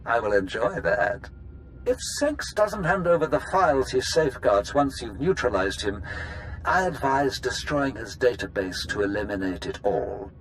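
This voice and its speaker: A man's voice: mid-range voice, very animated, normal speed, fairly bright tone, soft background music, poor audio. A man playing a scheming, courtly Sith lord in a video game, urbane and theatrical, savoring his words. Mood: sly, conspiratorial.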